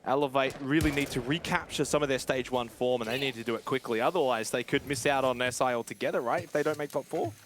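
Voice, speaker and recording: A man casting a live esports match, calling the action in quick play-by-play that jumps up to shouts in big moments. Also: medium pitch.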